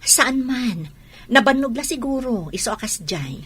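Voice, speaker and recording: A woman playing a patient, hurt wife and gentle mother with an Ilocano Filipino accent in a radio drama. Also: a little high, expressive, a little slow, a little hiss or hum. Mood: reassuring.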